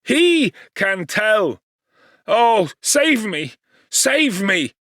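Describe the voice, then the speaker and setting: An overwrought young gentleman of science acted by a male reader, fervent and dramatic. The pitch climbs high and swings widely, with formal, emotional phrasing and a dry studio sound. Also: very animated, drawn-out words, no room sound, exaggerated voice. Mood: terrified.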